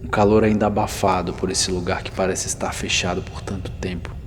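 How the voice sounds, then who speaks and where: A man narrating a guided ASMR journey slowly and softly, with pauses and near-whispered moments. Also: low-pitched, little pitch movement. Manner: hushed, unhurried, with soft pauses, sometimes near whisper. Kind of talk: slow immersive ASMR guided narration, second person. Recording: long reverb over the voice. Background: music.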